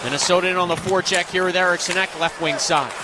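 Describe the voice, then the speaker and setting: Guy doing hockey radio play-by-play, high voice, clipped phrases, noisy rink broadcast. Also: fairly even intonation, fast. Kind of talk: live ice hockey commentary. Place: arena broadcast position. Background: arena ambience and noise.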